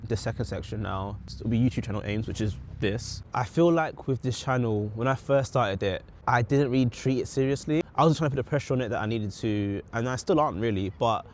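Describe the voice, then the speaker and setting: A man's voice: medium pitch, a little fast, few pauses, a little hiss or hum. A young man with a British accent reflecting on his goals in a casual, rambling vlog, often correcting himself mid-sentence. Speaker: vlogger. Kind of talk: casual talking-head vlog.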